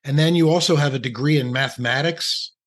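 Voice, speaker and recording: A man's voice: fairly high pitch, brisk, a bit bright. A man from New Jersey hosting a podcast interview, friendly and joking, asking long, rambling questions. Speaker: podcast host.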